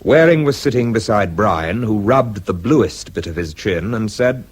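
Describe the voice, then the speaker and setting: An actor with a British accent playing a bitter, self-pitying research scientist in a radio drama, dry and clipped. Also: male voice, fairly low pitch, lively intonation, measured pace, husky. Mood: dry, reflective. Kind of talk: British radio drama acting and first-person narration.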